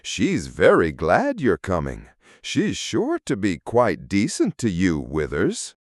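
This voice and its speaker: A man's voice: sits low, wildly swooping pitch, slow pace, no room sound, clean studio sound, full character voice. A man voicing an earnest, emphatic schoolboy in an audiobook story. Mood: earnest, reassuring. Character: earnest schoolboy inviting a classmate to visit.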